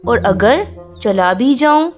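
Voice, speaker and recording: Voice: medium pitch, expressive, takes it slowly, soft background music. A performer voicing a lonely male sparrow in a cartoon story, pleading plaintively for help. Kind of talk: Hindi cartoon moral story dialogue.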